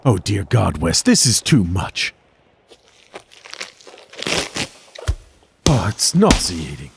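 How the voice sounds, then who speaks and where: A man acts a haunted doctor in a horror audio drama. He is grave and appalled, his voice low, with faint music underneath. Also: wildly swooping pitch, measured pace, creaky, full character voice. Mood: horrified. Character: haunted, horrified male doctor, reluctant lab assistant.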